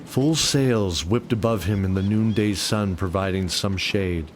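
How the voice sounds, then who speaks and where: A man's voice: low-pitched, unhurried, slight background noise. A man narrating a scripted fantasy adventure as a dramatic storyteller. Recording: music bed under narration. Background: music.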